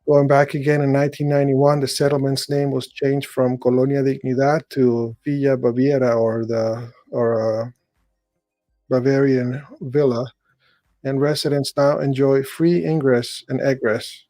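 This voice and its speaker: Middle-aged man, gravelly voice, dry close sound, hesitant host. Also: medium pitch, little pitch movement, moderate pace, a little mellow, dry recording. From Chile. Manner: hesitant, with frequent fillers and restarts. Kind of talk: reading an article aloud, then casual commentary.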